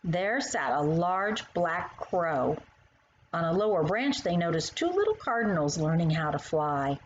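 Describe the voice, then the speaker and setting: A woman narrating a children's story as its author, in an expressive style that acts out the dialogue. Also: on the low side, unhurried, muffled recording, a little hiss or hum.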